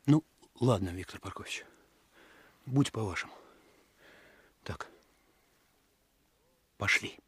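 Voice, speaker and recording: A man playing a stubborn young detective in a radio play, husky and reluctant in manner. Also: fairly low pitch, expressive, slow, pauses often, no echo, heavily acted.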